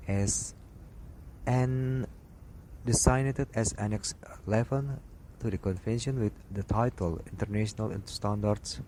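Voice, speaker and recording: A man reads technical study notes aloud, slowly and haltingly, breaking off and restarting words. His voice sits fairly low. A clear hiss of noise runs under the recording. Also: warm tone, poor audio. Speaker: aviation instructor. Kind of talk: reading study material aloud.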